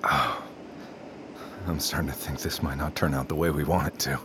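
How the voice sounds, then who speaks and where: An actor playing a groggy, sleep-deprived man in an audio drama, muttering haltingly in a gravelly voice. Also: rumbling low, steady tone, background noise. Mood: dazed, weary. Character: exhausted, sleep-deprived, groggy man fighting to stay awake.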